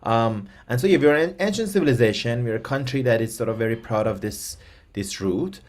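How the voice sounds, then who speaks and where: A man's voice: mid-range voice, animated, a little fast. A man from Iran whose first language is Persian, answering interview questions as an expert with frequent ums and restarts. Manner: hesitant, many ums and restarts. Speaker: guest expert.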